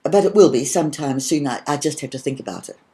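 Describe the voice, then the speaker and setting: A woman voicing an eager, hesitant little girl who stammers as she tells fairy tales in a children's storybook. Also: sits low. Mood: hesitant, flustered. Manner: halting, stammering, excitable.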